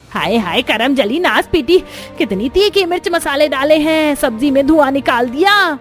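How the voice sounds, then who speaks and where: A woman's voice: sits high, expressive, moderate pace, faint music behind. A woman voicing a bossy, nagging old mother-in-law in a cartoon story. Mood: scolding. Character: scolding, demanding old mother-in-law, food-loving village matriarch.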